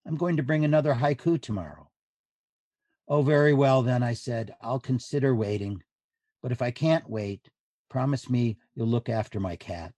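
A man giving a literary reading of diary entries in measured, deliberate phrases. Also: medium pitch, dark tone, no echo.

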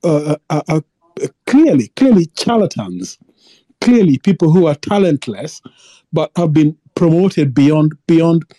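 Halting, dark-voiced caller man. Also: a little high, very animated, no echo. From Africa. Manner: halting, many repeated words and restarts. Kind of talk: impassioned phone-in opinion.

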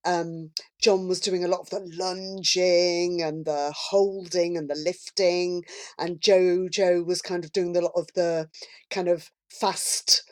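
A woman in casual chat, commenting in halting, informal phrases. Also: on the low side, little pitch movement.

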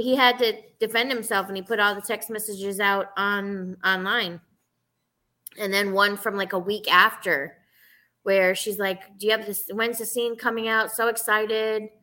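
A woman's voice: mid-range voice, pushed and bright. A woman telling an anecdote in casual conversation on a talk show.

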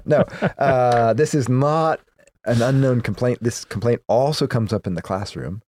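A man's voice: mid-range voice, unhurried, darkish tone, no room sound. A man co-hosting and producing a podcast in casual banter, laughing often and letting his voice trail off at the ends of thoughts. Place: podcast studio. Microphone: studio microphone. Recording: dry studio sound.

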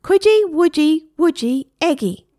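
A woman voicing a whiny, stubborn male pet parrot in a read-aloud story, with a squeaky complaining voice. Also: animated, measured pace, mellow, dry recording, studio quality.

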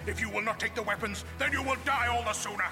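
An actor in an audio drama playing a proud, vengeful gladiator with a declamatory, menacing delivery. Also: male voice, high voice, fast talker, over music. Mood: cold menace. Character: vengeful, proud gladiator demanding a duel to the death.

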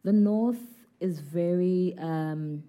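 A South African woman in her twenties, a guest in an interview, who answers in a relaxed, hesitant way with many fillers. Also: on the low side, drawn-out words. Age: 20s.

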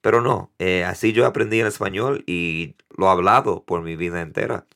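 A man in his thirties from New York hosting a casual solo podcast in Spanish, with an accent mixing Dominican and American English, halting and correcting himself often. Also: deep voice, gravelly, a bit bright. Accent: mix of Dominican Spanish and American English. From New York, United States. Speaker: podcast host.

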